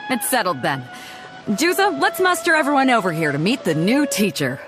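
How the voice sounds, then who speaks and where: A woman's voice: on the high side, sing-song, moderate pace, over music. An actress voicing a bold, teasing, confident female ship captain in a game cutscene. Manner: teasing, self-assured, laughs easily.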